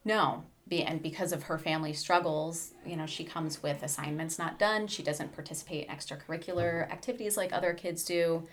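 A woman speaking as an educator and guest in a book discussion, explaining things in a measured way. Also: sits low, fairly even intonation, a little hiss or hum.

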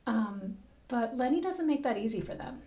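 A woman's voice: on the high side, lively intonation, normal speed, mellow, distant mic. A woman hosting a conversational book podcast, thoughtful and reflective, recorded in a roomy space away from the mic. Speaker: podcast host.

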